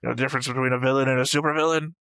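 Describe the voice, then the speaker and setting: Blunt guy co-hosting a reaction show, sweary and argumentative, sharp bright voice, dry close sound. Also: mid-range voice, fairly even intonation, very fast, clean tone, dry recording. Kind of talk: casual discussion of an anime episode.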